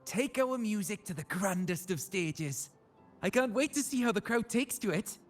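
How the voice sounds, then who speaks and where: A man's voice: high-pitched, expressive, moderate pace, soft background music. A man voicing an eager, proud well-wisher in a video game.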